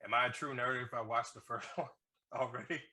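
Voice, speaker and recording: A man's voice: mid-range voice, fairly even intonation, brisk, bright, forceful tone. A man co-hosting a movie review podcast, chatting casually and jokingly in loose street slang with plenty of cursing.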